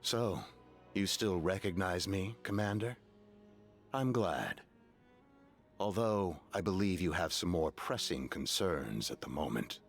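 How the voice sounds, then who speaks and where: A man voicing a mocking, menacing villain in a video game, smug and taunting with drawn-out delivery. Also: low voice, lively intonation, soft background music, heavily acted. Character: mocking, menacing male villain possessing another's body.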